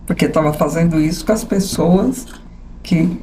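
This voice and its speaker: A woman in her sixties with a São Paulo accent, a journalist telling her life story as a podcast guest, animated and often asking "né?". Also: sits low, normal speed, background noise. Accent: São Paulo capital Portuguese. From São Paulo state. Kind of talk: conversational interview storytelling.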